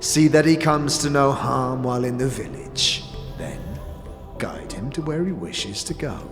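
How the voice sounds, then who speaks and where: A man playing a sly old crime boss in a fantasy audio drama, with a gravelly voice and deliberate, mock-courteous delivery. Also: medium pitch, expressive, natural voice. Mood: sly command. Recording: long reverberant hall with music.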